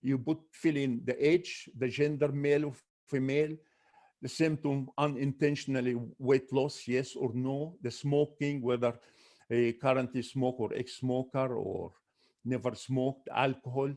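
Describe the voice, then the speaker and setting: Brief: male ENT doctor lecturing a webinar, mid-pitched, steady, methodical, with small restarts. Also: unhurried. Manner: careful, listing symptoms one by one with frequent restarts. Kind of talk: medical lecture.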